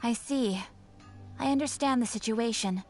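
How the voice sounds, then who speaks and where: Woman doing a polite formal guild receptionist, slightly high, even and composed. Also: moderate pace, soft background music, natural voice. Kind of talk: video game voice acting.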